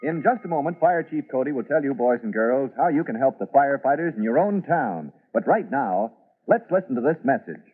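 A man's voice: mid-range voice, very animated, fairly quick, husky. An American man narrating an old-time radio serial as its announcer, in a dramatic scripted style. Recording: phone-like vintage radio recording.

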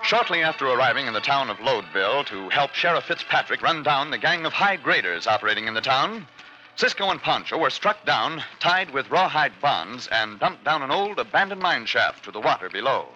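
Dramatic, animated old-time radio man announcer. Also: medium pitch, fairly quick. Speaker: announcer and narrator. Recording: muffled old radio recording, music behind. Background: music.

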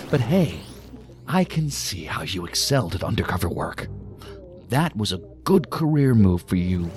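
An actor playing a menacing, talkative intruder with dark sardonic humour in an audio drama, taunting and rambling as he goes. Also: low voice. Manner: taunting, rambling, deadpan-joking. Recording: music under the voice.

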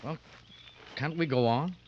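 A man's voice: a little high, a little slow, darkish tone, close to an everyday voice. An actor playing an eager, impatient American businessman on safari in an old radio drama, testy in manner. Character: impatient, eager American businessman, amateur big game hunter. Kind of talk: 1940s-50s radio drama acting. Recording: dull vintage radio recording, clear noise.

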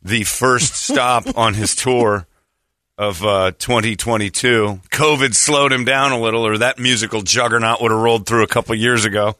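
A hoarse man hosting a morning radio show, rambling through a story with many restarts. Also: mid-range voice, fairly even intonation, moderate pace, sharp tone, no room sound, clean studio sound. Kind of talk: casual morning show chat. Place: radio studio. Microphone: studio mic.

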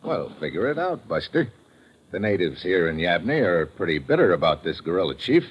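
An actor playing a tough, wry American undercover agent in a 1950s radio drama, clipped and slangy. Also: male voice, deep voice, very animated, fairly quick, a little hiss or hum. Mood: coolly menacing. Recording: muffled old radio recording.

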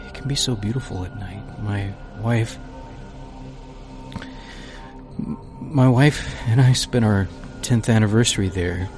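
A man playing an anguished astronaut recording a halting log in an audio drama, grieving and horrified. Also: medium pitch, lightly acted. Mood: wistful. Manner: halting, repeats himself.

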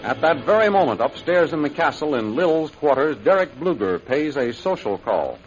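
A man's voice: on the high side, big pitch swings, normal speed. A man with an American accent announcing and narrating a scripted radio serial in 1930s style. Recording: muffled vintage radio recording. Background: music.

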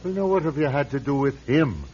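An actor playing a kindly older priest in a radio drama, gently chiding and good-humoured. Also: male voice, mid-range voice, expressive, a little fast, a little hiss or hum, close to an everyday voice. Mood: calm, reassuring. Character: kindly, wry, older Irish parish priest. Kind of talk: old-time radio drama acting. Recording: muffled vintage radio recording.

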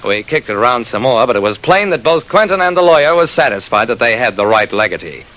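A man's voice: medium pitch, sing-song, fast, a bit bright, poor audio. A man playing a terse, wry private detective who narrates his own case in an old-time radio drama. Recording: vintage radio recording.

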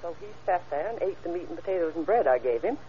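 A woman's voice: on the low side, expressive, fast talker, natural voice. An actress playing an observant, obliging woman giving careful witness testimony in an old-time radio crime drama. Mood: helpful, careful. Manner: careful, matter-of-fact, helpful. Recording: muffled old radio recording, background hiss.

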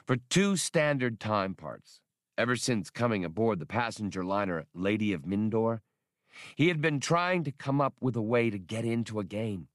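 Low-voiced man narrating an audiobook. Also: expressive, moderate pace, no echo, studio quality. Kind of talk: scripted narration of a science fiction novel.

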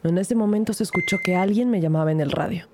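A woman's voice: fairly low pitch, quick pace, noisy background, close to an everyday voice. An actress playing an earnest, driven police detective who narrates her case with serious, dramatic delivery in an audio drama. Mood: serious, tense. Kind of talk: scripted first-person radio drama narration.

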